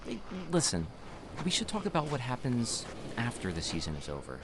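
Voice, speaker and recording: Animated young man an actor over background noise. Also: mid-range voice, fairly quick. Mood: upbeat, eager. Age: young adult. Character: eager, talkative young city man in theater. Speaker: actor in an audio drama. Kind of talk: naturalistic audio drama dialogue.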